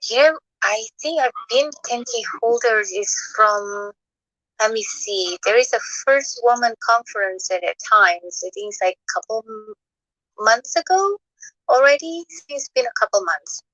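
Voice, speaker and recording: A woman's voice: fairly high pitch, lively intonation, measured pace, fairly bright tone, no echo, lo-fi sound. Friendly woman with an Indonesian accent. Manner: friendly, searching for words. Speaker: real estate agent. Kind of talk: casual chat.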